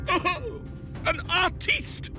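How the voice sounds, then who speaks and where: Shrill, petulant tyrant lord. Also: male voice, animated, extremely slow, full character voice. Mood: haughty. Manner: pompous, theatrical, childishly raging. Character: tyrannical, petulant male lord of a city, cruel and self-important. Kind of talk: villain monologue in fantasy game cutscene. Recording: loud background music over the voice.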